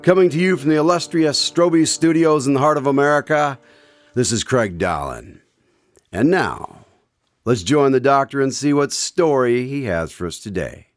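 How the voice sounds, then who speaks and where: An actor playing an affable, folksy radio show announcer and host in the old-time style of a radio drama. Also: male voice, medium pitch, lively intonation, normal speed, faint music behind, lightly acted. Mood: showy announcing.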